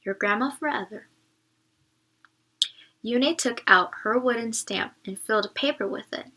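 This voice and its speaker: A woman reading a children's story aloud as a teacher, pausing to ask questions and explain words expressively. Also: on the high side, sharp tone, poor audio.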